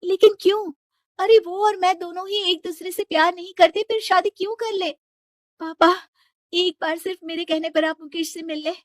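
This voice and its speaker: High-voiced headstrong young daughter played by a woman. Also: steady tone, normal speed, no room sound. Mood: protesting. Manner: insistent, emotional pleading. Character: headstrong young rich daughter, sincere and lovelorn.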